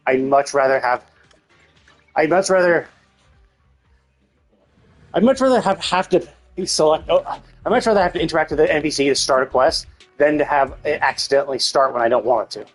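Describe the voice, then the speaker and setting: A man's voice: a little high, moderate pace, pauses often, soft background music. A man, live streaming casual game commentary in an informal, profane, explanatory and opinionated way.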